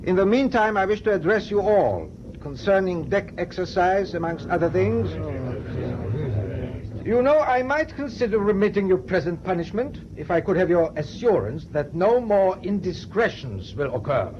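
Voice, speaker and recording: Imperious ship's captain, German, high animated pitch, formal, commanding, dull noisy radio drama recording. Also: male voice, moderate pace. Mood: coldly courteous. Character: stern, imperious German captain of a prison ship.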